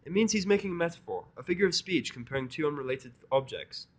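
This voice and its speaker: A man voicing a bright, bookish young girl pony who explains things precisely. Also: a little high, animated, quick pace, pitched above the performer's own voice. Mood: matter-of-fact.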